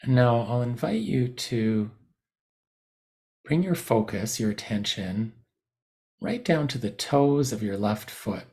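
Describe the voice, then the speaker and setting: A man guiding a body scan meditation in a soothing, unhurried manner, leaving long pauses between short phrases. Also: mid-range voice, expressive, warm tone. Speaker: meditation guide. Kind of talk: guided body scan meditation, unscripted instruction.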